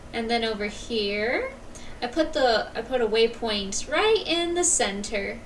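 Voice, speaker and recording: High-voiced woman casually streaming a game. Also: far from the mic, slight background noise, low-quality recording. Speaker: video game livestreamer. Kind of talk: casual live commentary, reading dialogue choices aloud.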